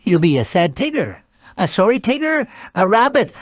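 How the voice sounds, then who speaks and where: A woman voicing a bossy, fussy male rabbit in a children's storybook, brisk and insistent. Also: medium pitch, big pitch swings, no room sound, studio quality, voice pushed up. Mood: scheming, smug.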